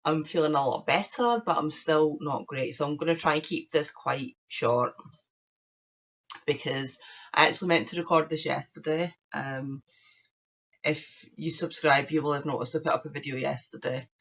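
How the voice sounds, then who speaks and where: A woman hosting her crafting podcast, chatting casually to camera with a croaky voice while she recovers from illness. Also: deep voice, moderate pace, fairly bright tone. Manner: chatty, rambling, shows knitting to camera. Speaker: podcast host.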